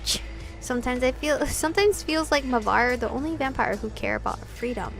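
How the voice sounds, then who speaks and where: A woman's voice: high voice, fairly quick, gravelly, soft background music. A woman voicing an angry, passionate young male vampire rebel in a visual novel, accusing and insistent. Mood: impassioned. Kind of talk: visual novel read-aloud.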